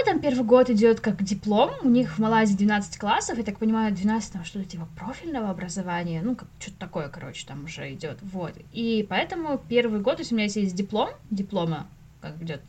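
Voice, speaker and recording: Fast-talking young woman, casual storyteller. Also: medium pitch, husky. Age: late 20s to early 30s. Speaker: podcast guest, animator.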